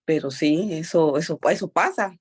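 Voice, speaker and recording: A woman's voice: low voice, moderate pace, breathy edge. A woman co-hosting a Bible study, talking casually and conversationally about her own experience. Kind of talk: casual personal testimony.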